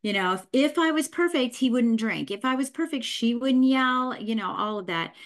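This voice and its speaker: A middle-aged woman, a podcast guest, telling her life story in a candid, folksy, animated way. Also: on the high side, moderate pace. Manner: animated, folksy, mimics voices in her stories.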